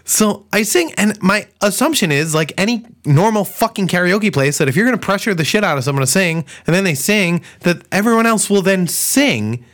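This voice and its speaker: A young man telling profane, animated stories in a casual podcast chat. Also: high-pitched, normal speed, hardly any pauses. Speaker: podcast co-host.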